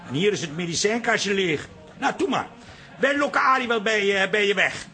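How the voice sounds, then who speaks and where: A man's voice: sits high, lively intonation, normal speed, sharp tone, faint music behind, exaggerated voice. An actor playing a mild, practical Dutch man in a comic radio play, conversational and slightly hesitant.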